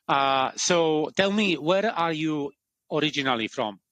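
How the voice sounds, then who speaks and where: Bright-voiced male podcast host. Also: on the high side, expressive, unhurried, no room sound. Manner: hesitant, many uh fillers. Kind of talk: casual interview hosting.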